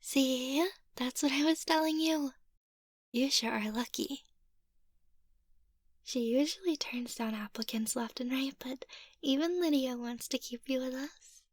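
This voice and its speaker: A woman playing a playful, sweet young vampire mistress in an ASMR roleplay, soft-spoken and teasing, often slipping into a whisper. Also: high voice, a little slow, pauses often, no room sound, studio quality. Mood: fond, cheerful.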